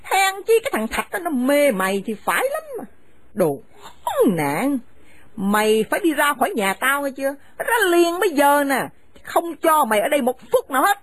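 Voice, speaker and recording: An actress playing a furious, domineering mother-in-law who shouts insults at her son's wife. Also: sits high, sing-song, drawn-out words, hardly any pauses, no room sound, heavily acted. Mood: scolding. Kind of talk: radio drama acting.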